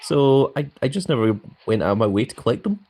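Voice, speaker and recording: A man's voice: mid-range voice, expressive, fast talker, a little mellow. A young man live-streaming a mobile game, chatting casually and reading viewers' comments aloud with frequent ums.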